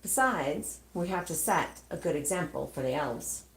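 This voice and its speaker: A woman voicing a kindly old Santa Claus in a storybook, speaking in a halting, tender way. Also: low-pitched, expressive, a bit bright, reverberant, voice pushed down. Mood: earnest, gentle.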